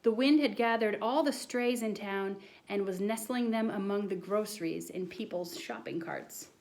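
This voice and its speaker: A woman voicing an earnest, excitable schoolboy who tells a tall storybook tale with dramatic insistence. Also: mid-range voice, normal speed, natural voice. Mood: excited storytelling.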